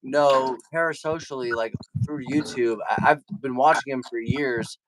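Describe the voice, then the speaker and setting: A man's voice: mid-range voice, fairly even intonation, normal speed, background noise. A man hosting a casual livestream, rambling informally and correcting himself as he talks. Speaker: livestream host and singer-guitarist.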